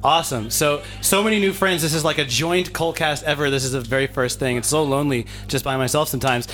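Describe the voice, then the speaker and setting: A hoarse man hosting a podcast, chatting enthusiastically with guests into a handheld microphone indoors. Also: a little high, fast, fairly bright tone, soft background music. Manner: enthusiastic, many fillers. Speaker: podcast host. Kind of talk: casual interview, hosting. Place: indoor gathering room.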